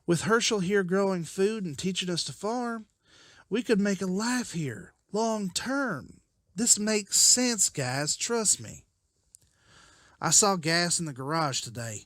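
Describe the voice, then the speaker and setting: A man's voice: sits high, lively intonation, slow, a bit bright, dry recording, clean studio sound, heavily acted. A man playing an earnest, persuasive leader of apocalypse survivors in a dramatized comic. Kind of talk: dramatized comic-book voice acting.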